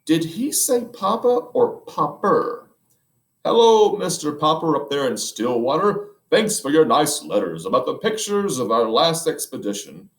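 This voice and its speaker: A booming expedition admiral, put on by a man reading a storybook hails listeners over the radio. He proclaims heartily with a swinging pitch, in an echoey room. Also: big pitch swings, moderate pace, few pauses. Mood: hearty. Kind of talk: reading aloud a children's book.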